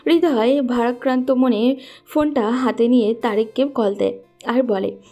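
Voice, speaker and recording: Female story narrator: scripted delivery, dark soft timbre, slightly high pitch, faint music bed. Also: steady tone, measured pace.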